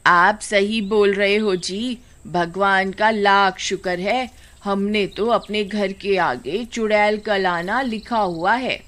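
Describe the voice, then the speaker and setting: A woman's voice: mid-range voice, steady tone, slow pace, hardly any pauses, a bit bright. A woman playing a cruel, greedy older village mother-in-law in a cartoon story, commanding and sarcastic. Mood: gossipy. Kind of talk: Hindi animated horror story acting.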